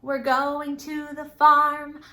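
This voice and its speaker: A woman's voice: high voice, very slow. A woman hosting a children's storytime, speaking in simple, encouraging, exaggerated phrases as she teaches and asks questions. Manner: exaggerated, animated delivery. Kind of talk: children's storytime lesson with questions and sing-song. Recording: roomy, echoing indoor sound.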